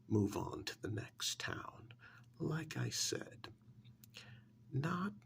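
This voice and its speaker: A man playing a polite, guarded, elderly small-town museum curator in a soft, breathy, hesitant ASMR role-play. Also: lowish voice, slight background noise, heavily acted. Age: older. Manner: hesitant, deliberate, courteous.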